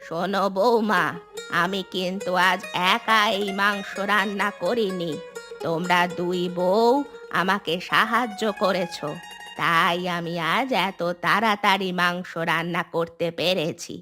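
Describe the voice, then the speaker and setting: A woman's voice: fairly low pitch, steady tone, measured pace, sharp tone, soft background music. A woman playing an elderly village mother-in-law in a cartoon story, plain-spoken, folksy and instructive, troubled but shrewd. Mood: firm, instructive. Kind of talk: scripted cartoon story acting.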